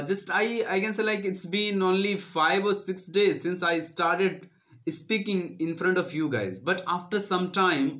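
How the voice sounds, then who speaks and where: A man's voice: high voice, fairly bright tone. A young man in his twenties with an Indian accent and Hindi as his first language, earnestly giving English-learning tips straight to camera on a lapel mic. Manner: earnest, coaching, addresses viewers as friends. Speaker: English-learning channel host. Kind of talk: direct-to-camera advice talk. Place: small indoor room with green screen.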